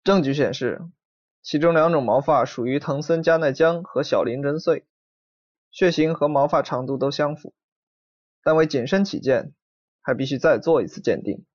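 An actor playing a dutiful junior police officer in an audio drama, reporting in a formal, businesslike way. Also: male voice, on the high side, normal speed, dry recording, highs cut off, natural voice. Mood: formal, earnest.

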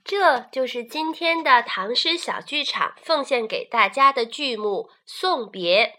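A woman narrating a story for children, slow and expressive. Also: high-pitched.

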